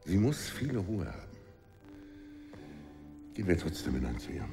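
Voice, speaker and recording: Casting: protective, evasive husband for radio drama, very low creaky voice, formal, patronising, in command of the household. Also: male voice, sing-song, moderate pace, music in the background. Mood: protective, grave. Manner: firm, formal, soothingly patronising. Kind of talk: German radio drama acting.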